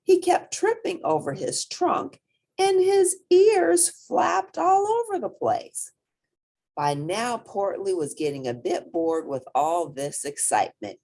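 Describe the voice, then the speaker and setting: A woman reading a children's picture book aloud as an expressive storyteller, with dramatic emphasis. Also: medium pitch, slow pace.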